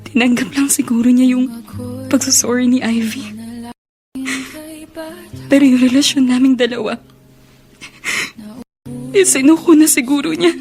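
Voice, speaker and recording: An anxious young student in a Filipino radio melodrama. Her voice is high, pleading and heartbroken. Light music runs underneath. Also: fairly even intonation, measured pace, long pauses. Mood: tearful, hurt.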